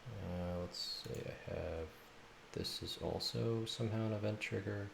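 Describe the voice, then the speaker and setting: Male game developer, halting and gravelly, muttering through live coding in a roomy, noisy space with keyboard clicks. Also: lowish voice. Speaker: game developer, stream host. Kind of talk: thinking aloud while live coding. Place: indoors at a computer. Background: loud noise, typing, mouse clicks.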